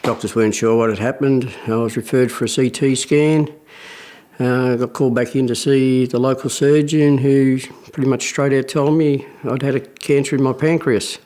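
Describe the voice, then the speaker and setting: A man in his fifties telling his own story plainly, pausing often with fillers. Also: medium pitch, steady tone, darkish tone. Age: 50s. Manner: plain-spoken, halting with fillers. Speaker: patient sharing his story. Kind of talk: personal testimony.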